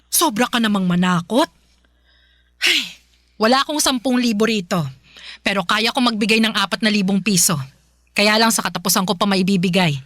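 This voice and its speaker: A woman's voice: medium pitch, moderate pace, long pauses, fairly bright tone, dry recording, studio quality, lightly acted. An actress playing a kindly but firm middle-aged godmother in a radio drama, protesting with exasperation in a Filipino accent. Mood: grudging, firm. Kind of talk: radio drama acting in a love story podcast.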